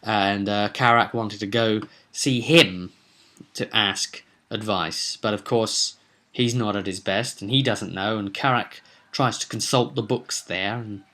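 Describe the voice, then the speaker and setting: Casting: male game master for an informal vlog, forceful bright tone, digressive and halting delivery. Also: lowish voice, steady tone. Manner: rambling, digressive, halting with self-corrections. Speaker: game master recapping his roleplaying sessions.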